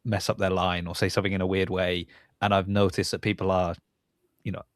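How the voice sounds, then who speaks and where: Low-voiced man hosting, dry close sound. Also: level tone, brisk, dry recording. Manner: rambling, thinking aloud, often rephrasing mid-sentence. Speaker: podcast host and interviewer. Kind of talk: casual podcast interview.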